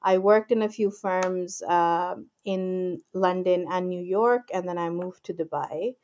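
A woman in her forties from Pakistan, calmly explaining her work as a guest in an interview with frequent ums and you-knows. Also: on the low side, unhurried, slight background noise. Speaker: guest, IP lawyer. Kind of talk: casual interview talk.

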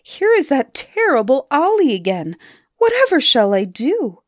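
Storybook troll wife, old, sly and fretful, read by a woman, pitched up and muttering. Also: huge pitch swings, measured pace, dry recording, studio quality, heavily acted. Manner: anxious muttering to herself.

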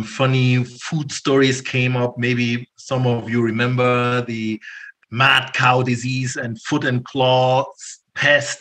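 This man from northern Germany speaks with a bright, forceful tone. His pitch sits at a middling level, and he answers casually and at length, often pausing on ums and uhs. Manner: relaxed, rambling, many ums and uhs. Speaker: podcast guest, entrepreneur.

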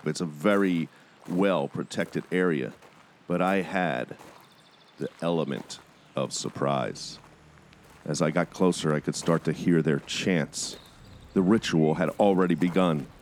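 A man's voice: extremely low pitch, unhurried, slight background noise. A man hosting a podcast and narrating a scripted first-person story in a dramatic storytelling manner.

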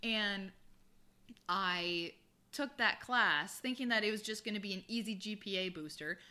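A young woman co-hosts a casual podcast, speaking candidly and poking fun at herself. Her voice is bright and a little sharp, and she laughs often as she talks. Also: medium pitch, measured pace. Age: young adult. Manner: candid, self-deprecating, frequent laughter. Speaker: podcast co-host. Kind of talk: casual chat.

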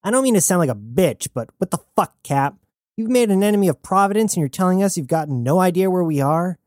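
A woman's voice: lowish voice, expressive, a little fast, darkish tone, no room sound, clean studio sound, pitched above the performer's own voice, natural voice. A narrator voicing a scornful, brash crewwoman. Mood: angry. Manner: scornful, combative. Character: brash foul-mouthed woman crew member.

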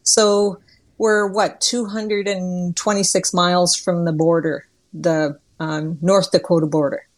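A woman's voice: fairly low pitch, steady tone, unhurried. Plain-spoken woman with a Canadian accent. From northern Ontario, Canada. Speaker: interview guest. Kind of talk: casual chat interview.

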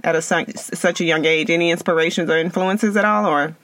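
A man's voice: fairly high pitch, steady tone, fairly quick, fairly bright tone, no room sound. A man hosting a podcast interview, upbeat and chatty, often restarting his questions. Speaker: podcast host. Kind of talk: casual interview.

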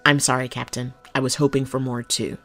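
Candid, dutiful policewoman for crime audio drama; crisp brightness with some fry, conversational delivery. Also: very deep voice, flat delivery, fairly quick, faint music behind. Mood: matter-of-fact, candid. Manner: deferential but frank, conversational. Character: loyal, candid female police officer reporting to her captain.

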